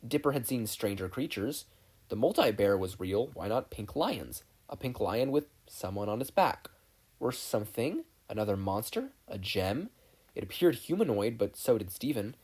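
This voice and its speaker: Podfic reader: mellow, relaxed timbre, medium pitch, scripted prose narration. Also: normal speed, breathy edge.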